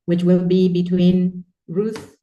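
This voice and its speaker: Mellow-voiced woman moderator, slightly distant. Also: lowish voice, little pitch movement, takes it slowly, dull audio. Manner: hesitant, with fillers and restarts. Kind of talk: introducing speakers at an online event.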